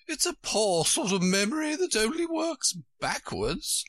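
A man voicing a flighty, imperious older queen from a storybook in a shrill, squeaky, swooping voice. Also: very animated, unhurried, fairly bright tone, dry recording, clean studio sound, full character voice. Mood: gleeful, insistent. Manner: emphatic, swooping, exclaiming.

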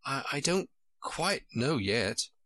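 A man voicing a gentle, polite, puzzled seven-year-old girl in a storybook. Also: mid-range voice, sing-song, measured pace, vocal fry, slightly rough, no room sound, studio quality, voice pushed up, full character voice. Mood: gentle, hesitant. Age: child.

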